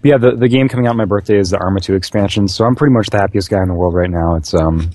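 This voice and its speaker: A man co-hosts a casual podcast while getting over an illness. His voice sits low and husky, and he jokes in a wry, deadpan way. Faint music plays behind him. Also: rapid-fire, no room sound. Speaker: podcast co-host.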